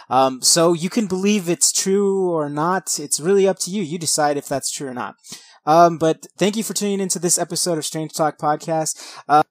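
A man's voice: mid-range voice, no echo. Thirty-year-old man, informal podcast host, dry sound. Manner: informal, self-deprecating. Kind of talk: casual podcast talk and reading of accounts. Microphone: headset, recording at own setup.

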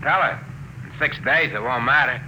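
A man's voice: mid-range voice, moderate pace, sharp tone. A man acting a callous, slangy gangster henchman in an old radio drama. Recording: noisy old radio broadcast.

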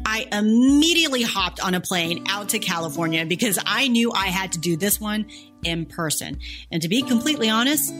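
A woman in her forties hosting a podcast, moving from a prepared introduction into eager interview questions. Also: mid-range voice. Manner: enthusiastic, warm questioning. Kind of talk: scripted intro then interview. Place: guest's home.

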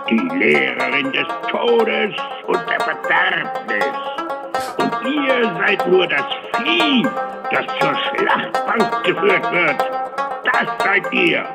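Ein Schauspieler spielt in einem komischen Hörspiel einen unheilvollen Tempelritter, der knarzig, altertümlich und theatralisch den Untergang verkündet. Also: male voice, a little high, full character voice. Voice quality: creaky, gravelly. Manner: archaic, theatrical proclamations with dramatic pauses. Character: ominous old-fashioned Templar knight prophesying doom. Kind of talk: comic radio play acting. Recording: loud plucked banjo music behind.

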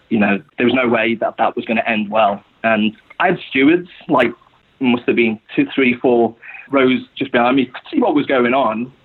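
A man's voice: medium pitch, breathy edge. A young adult man with a Scouse accent from Liverpool, talking casually as a guest and rambling with lots of "you know" fillers. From Liverpool, England. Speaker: guest supporter. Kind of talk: casual podcast conversation. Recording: tinny phone-like line.

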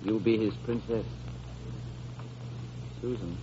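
Devoted, sorrowful father, low register, earnest and tender, halting. Vintage radio drama, dull hissy recording. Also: fairly even intonation. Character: devoted, sorrowful father shielding a dying child with fairy tales. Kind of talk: 1930s-40s American radio drama acting. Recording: muffled old radio recording with hiss.

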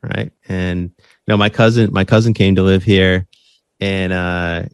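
A man's voice: deep voice, steady tone, unhurried, smooth, no room sound. A man talking in a casual interview about running his podcast, earnest and conversational, often checking in with "right?" and restarting his phrases. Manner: frequent restarts and repeated words. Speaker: podcast host interviewed as guest.

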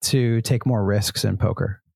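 A man co-hosting a podcast in casual chat, eager to share facts and quick to laugh. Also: on the low side, gravelly, darkish tone, husky, no echo, clean studio sound. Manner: chatty, shares trivia facts, laughs often. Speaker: podcast co-host.

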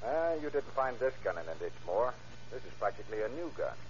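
An actor playing a brisk, persistent police investigator questioning in clipped, businesslike fashion in an old radio crime drama. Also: male voice, on the low side, sing-song. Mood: probing. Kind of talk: 1940s-50s radio crime drama acting. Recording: muffled, noisy vintage radio recording.

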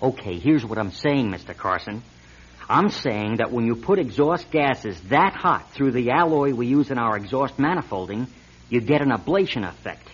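An actor playing an earnest, insistent auto lab technician turned whistleblower in a radio drama, hesitant at times and urgent at others. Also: male voice, mid-range voice, expressive, normal speed, slightly rough. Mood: earnest, explaining. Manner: hesitant, then urgent and emphatic. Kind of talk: 1970s-style radio drama acting. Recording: dull lo-fi radio recording.

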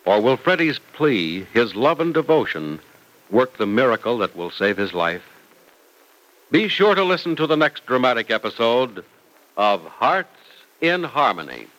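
A male announcer in classic mid-century radio style, with a medium pitch and very lively intonation. His scripted delivery is emphatic and polished. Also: a little slow, poor audio.

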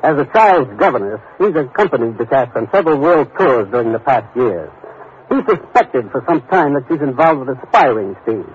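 An actor playing a brisk, authoritative commissioner in a 1950s radio drama, businesslike in his delivery. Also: medium pitch, sing-song, close to an everyday voice. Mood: serious. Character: brisk, authoritative male commissioner briefing an agent. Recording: tinny noisy old radio recording.

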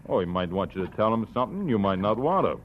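An actor playing a dim-witted henchman in a 1950s radio drama, giving blunt, slow-witted threats. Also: fairly low pitch, very animated. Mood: threatening. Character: dim-witted male thug henchman, sullen and stubborn. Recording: muffled old radio audio with faint hiss.

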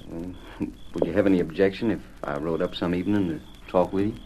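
A man's voice: low-pitched, steady tone, a little fast, dark tone, natural voice. A man playing a courteous, decisive cowboy crew boss with folksy American western talk, in old radio drama style. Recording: noisy old radio recording.

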